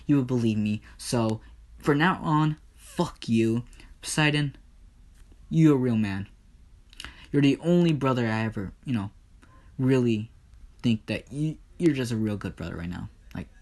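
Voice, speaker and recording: A man plays an aggrieved god of the underworld in a comic retelling of myth. He rants in a middle-pitched male voice, full of swearing and threats. The temper is vengeful and fed up. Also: natural voice. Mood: bitter, fed up. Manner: ranting, profane, threatening. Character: furious, foul-mouthed, vengeful god Hades, aggrieved brother. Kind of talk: improvised comedic myth retelling.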